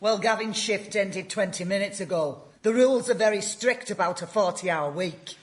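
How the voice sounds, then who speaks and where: A woman's voice: medium pitch, normal speed, natural voice. An actress playing an argumentative, officious henchwoman with a grievance in a radio sitcom. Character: indignant, rule-bound female henchwoman on shift work.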